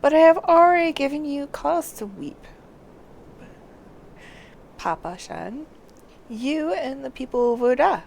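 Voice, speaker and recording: A woman's voice: high-pitched, very animated, unhurried, creaky, long pauses, darkish tone, slight background noise, pitched above the performer's own voice, exaggerated voice. A woman reading out the lines of a contrite, formal noblewoman from a video game. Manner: formal, reading quest text aloud.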